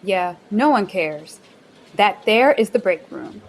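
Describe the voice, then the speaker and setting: An actress playing a bubbly, bossy office manager in a radio play, talking in a chirpy sing-song way. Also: female voice, medium pitch, animated, slow. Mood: upbeat.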